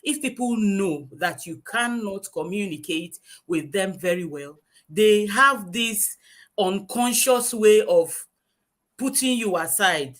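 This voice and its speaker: A woman's voice: medium pitch, animated, slow pace. A woman, a guest English teacher lecturing earnestly in a webinar over a video call, repeating her points for emphasis.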